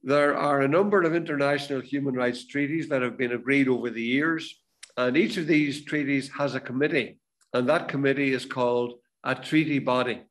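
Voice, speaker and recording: Older male presenter, steady explanatory delivery, video-call audio. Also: mid-range voice, normal speed. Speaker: webinar presenter.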